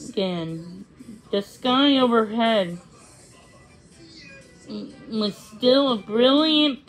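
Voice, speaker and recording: A woman reading a children's story aloud as a read-along storyteller, in a halting, word-by-word way. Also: on the high side, rough recording.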